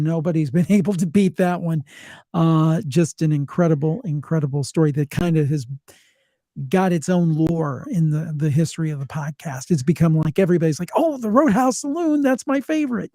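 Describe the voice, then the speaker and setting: A man with a fairly high, soft-toned voice speaks as a storytelling podcaster. His delivery is conversational and emphatic, recorded with very little room sound. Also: dry recording, clean studio sound. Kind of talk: casual storytelling chat.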